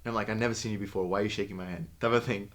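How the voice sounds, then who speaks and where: A young man from Portugal telling stories in a relaxed podcast chat, with many fillers. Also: fairly low pitch, rapid-fire. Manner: relaxed storytelling with lots of fillers. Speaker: podcast co-host. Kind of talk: casual chat.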